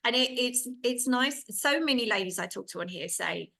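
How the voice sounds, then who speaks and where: A woman's voice: on the high side, animated, moderate pace, gravelly, bright, forceful tone. A woman hosting a podcast, interviewing her guest in a conversational style. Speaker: podcast host.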